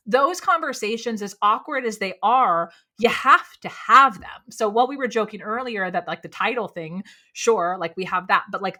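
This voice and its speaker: A woman with a low voice, a speaking coach talking candidly as a podcast guest, animated and quick with lots of "like". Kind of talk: candid conversational interview.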